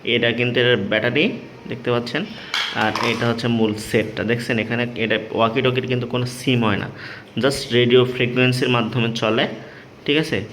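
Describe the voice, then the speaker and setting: A man with a Bangladeshi accent talks through a product tutorial in a casual, unscripted way. He explains step by step and repeats himself often, checking that the listener follows. His pitch is mid-range, and there is faint noise behind him. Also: fairly even intonation, normal speed, a bit bright. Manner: explanatory, repetitive, checking with "okay?". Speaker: electronics seller presenting a product tutorial.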